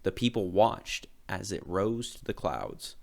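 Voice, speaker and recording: A man reading a storybook aloud with expressive, dramatic narration. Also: low-pitched, measured pace, gravelly. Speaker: storybook reader.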